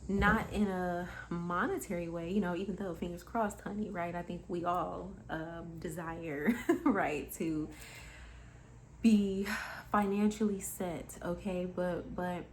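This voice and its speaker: A woman's voice: fairly low pitch, steady tone, slow pace, a little hiss or hum. A woman in her twenties giving a casual motivational monologue, candid and emphatic, swearing freely and calling the listener honey. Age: 20s. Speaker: spiritual vlogger.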